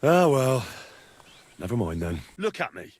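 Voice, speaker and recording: An actor playing an earnest, persistent young squire with a husky voice in a medieval video game. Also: male voice, mid-range voice, big pitch swings, measured pace, darkish tone, dry recording. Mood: coaxing. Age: young adult. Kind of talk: video game dialogue acting.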